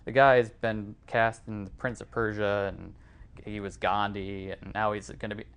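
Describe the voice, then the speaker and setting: A man's voice: lowish voice, flat delivery, moderate pace, smooth. A man co-hosting a film podcast, talking casually in a panel discussion.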